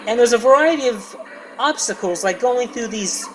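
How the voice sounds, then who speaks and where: A man with a high voice who gives a comedic retro game review in an excitable, emphatic, jokey way. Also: normal speed, rough recording.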